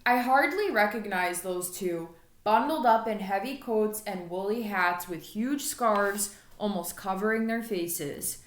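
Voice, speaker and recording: A woman's voice: mid-range voice, measured pace, hardly any pauses. A woman reading a children's story aloud expressively, like an elementary school teacher. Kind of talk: storybook read-aloud narration. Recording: echoey room.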